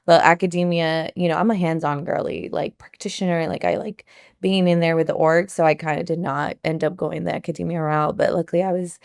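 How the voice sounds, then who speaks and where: A low-voiced woman in her thirties with a gravelly edge, chatting as an interview guest. She talks in a loose, filler-heavy way and drops in the odd Spanish word. Close, dry sound with no room echo. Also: fairly even intonation, fairly quick. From Colombia. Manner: chatty, warm-hearted, many fillers like "like" and "you know", mixes in Spanish words. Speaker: nonprofit consultant and founder, guest. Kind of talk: casual conversational interview.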